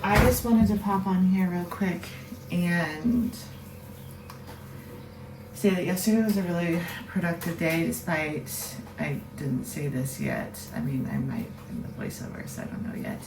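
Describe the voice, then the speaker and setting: A woman's voice: lowish voice, big pitch swings, measured pace, roomy sound, recorded from a distance, noisy background. A woman, a mother of two, chatting casually and rambling a bit as she makes coffee in her kitchen. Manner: rambling, chatty, self-deprecating. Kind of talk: casual chat. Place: home kitchen, indoors. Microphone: camera set at a distance.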